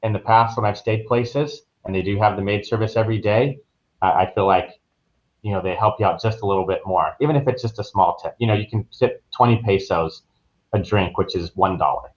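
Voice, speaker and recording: A man's voice: fairly low pitch, a little fast. An American man speaking as a travel agent guest on a podcast, explaining things in an informal, conversational way. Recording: dull, lo-fi online call audio.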